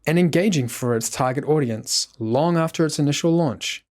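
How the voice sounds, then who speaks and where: A man's voice: on the high side, normal speed, a little mellow. A man delivering scripted documentary narration.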